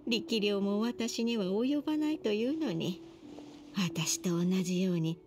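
A woman voicing a gentle elderly woman in an anime game, wistful, with old-fashioned grandmotherly phrasing. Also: medium pitch, unhurried, a little hiss or hum, natural voice. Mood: remorseful.

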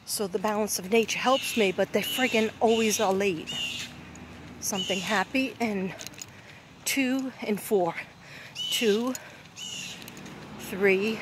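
A woman's voice: medium pitch, noisy background. A woman vlogging from her phone as she walks a neighborhood street outdoors, rambling and often restarting her sentences. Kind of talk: walking vlog, casual chat. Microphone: handheld phone.

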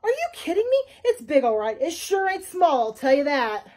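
A loud, pushy male dodo who runs a cookie shop, as a woman reading a storybook puts him on. He is excitable and brash, and talks right over everyone. His voice is shrill and raised, with a mellow, soft-edged tone. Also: normal speed.

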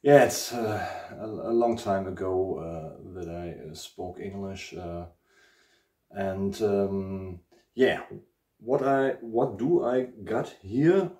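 German man, slow halting English, roomy echo. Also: deep voice, mellow, distant mic. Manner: halting, many ums and uhs, searching for words. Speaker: guitar channel host. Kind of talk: casual unboxing vlog. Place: living room at home, indoors. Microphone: camera mic at a distance. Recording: echoey room, speaker away from mic.

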